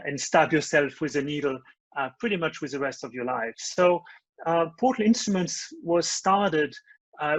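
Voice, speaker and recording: A man's voice: a little high, dry recording, dull audio. A man from France with a French accent, earnestly explaining his company's needle-free device, with many fillers. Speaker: company founder. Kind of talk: webcast pitch.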